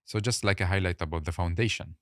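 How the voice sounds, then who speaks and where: A man's voice: low voice, fast, fairly bright tone, clean tone, dry recording, studio quality. A man hosting a podcast interview, casual and chatty, often saying "like" and "amazing". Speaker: podcast host.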